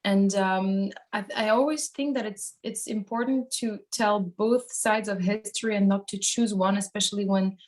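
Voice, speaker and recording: A woman's voice: medium pitch, fairly even intonation, moderate pace, hardly any pauses. A woman from Switzerland, a band singer, giving casual interview answers in a thoughtful, hesitant way as she searches for phrasing.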